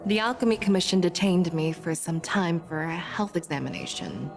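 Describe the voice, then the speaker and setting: A woman's voice: fairly low pitch, normal speed, music in the background, natural voice. A woman playing an authoritative, shrewd general in a fantasy game, speaking formally with cool self-assurance. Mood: polite. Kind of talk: formal scripted game dialogue.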